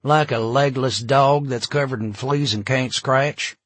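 A man's voice: medium pitch, steady tone, unhurried, a bit bright, dry recording, voice pushed up. Man voicing a folksy police chief. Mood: gruff, wry.

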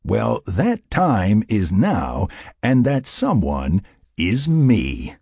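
A gruff, hot-headed male hunter and settler in an audiobook, acted by a male narrator. He is folksy and argumentative, with a raspy voice that swings widely in pitch. The sound is dry. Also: sing-song, normal speed, a little mellow, dry recording, heavily acted. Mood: insistent. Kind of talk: audiobook character dialogue.

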